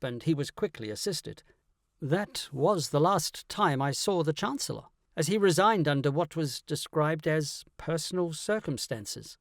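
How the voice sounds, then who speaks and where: A man reading a scripted first-person story as an audiobook narrator, in an animated, dramatic way. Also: on the high side, no room sound, clean studio sound.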